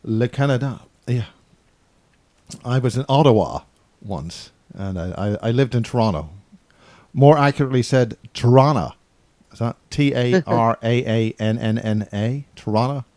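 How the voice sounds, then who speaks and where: A man's voice: mid-range voice, moderate pace, darkish tone, no room sound. Jokey middle-aged male interviewer. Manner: jokey, stumbling, chatty. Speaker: interview host. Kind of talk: casual interview chat.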